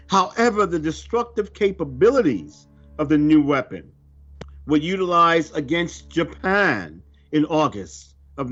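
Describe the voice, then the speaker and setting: A man reading a scripted religious report aloud in a solemn, deliberate, emphatic manner. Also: on the high side, a bit bright. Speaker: religious reader of a prophecy report. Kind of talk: scripted narration, read aloud. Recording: dull lo-fi audio under music. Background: music.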